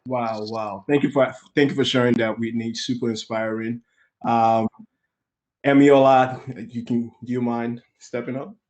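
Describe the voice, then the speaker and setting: A man's voice: mid-range voice, fairly even intonation, a little slow, darkish tone, muffled recording. A man moderating a webinar, upbeat and encouraging. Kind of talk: casual moderating.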